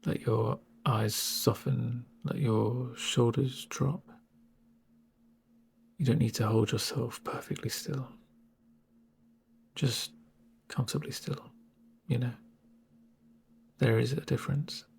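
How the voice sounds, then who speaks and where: A man playing a calm medic in a soft-spoken ASMR roleplay, gentle and reassuring with dry humour and a breathy, near-whispered voice. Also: medium pitch, full character voice. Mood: soothing. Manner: gentle, unhurried, reassuring murmur.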